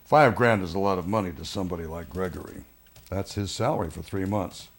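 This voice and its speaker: An actor playing a police detective who presses suspects with measured, probing questions in a naturalistic film drama. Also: male voice, deep voice. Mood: matter-of-fact.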